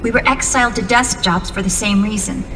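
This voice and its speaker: A woman's voice: medium pitch, brisk, natural voice. Woman acting as composed young female officer, earnest briefing delivery, video game drama, echoing reverb, noisy background. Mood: serious, informative. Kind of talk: cinematic video game dialogue. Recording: long reverb, clear background noise.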